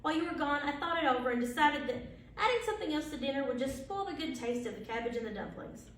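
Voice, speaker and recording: A kindly, tender-hearted housewife from a storybook as a woman reads her aloud, gentle and homely. The voice is high and animated, heard from a distance with heavy room echo. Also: quick pace. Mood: cheerful. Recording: very echoey, distant, faint background noise.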